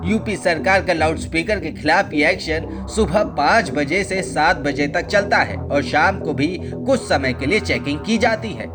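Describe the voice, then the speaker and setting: A man's voice: fairly high pitch, unhurried, hardly any pauses. A man reading a scripted news explainer in a formal, expository way as a narrator. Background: background music.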